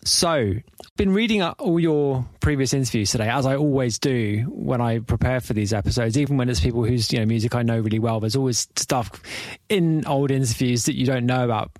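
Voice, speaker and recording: A man's voice: medium pitch, a little fast, a little mellow, no room sound. Chatty male podcast host, dry sound. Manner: chatty, loose and rambling questions. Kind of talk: casual interview hosting.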